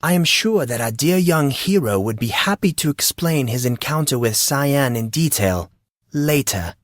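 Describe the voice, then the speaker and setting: Man putting on a formal, higher-pitched butler. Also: dry recording, studio quality, natural voice. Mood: deadpan politeness. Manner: formal, measured, deadpan. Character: genteel male butler, polite, wry and unflappable.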